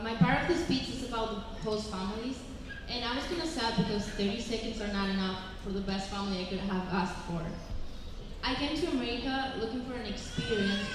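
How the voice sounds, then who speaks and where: A woman's voice: mid-range voice, background noise. A young woman from Spain with a Spanish accent, an exchange student giving a heartfelt graduation speech. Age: young adult. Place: large echoing hall. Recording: echoey hall with loud ambience.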